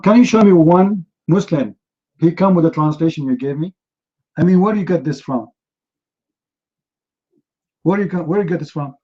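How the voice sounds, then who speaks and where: A man's voice: sits high, a little mellow. A man whose first language is Arabic, hosting a heated online debate, combative and mocking, pressing his opponent again and again. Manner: combative, mocking, repeats demands. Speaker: debate host. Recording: dull lo-fi stream audio.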